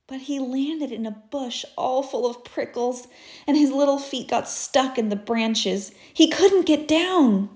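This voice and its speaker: Slow, expressive woman reading a storybook. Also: high voice. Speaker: storybook reader, teacher. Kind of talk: children's story read-aloud. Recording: roomy echo.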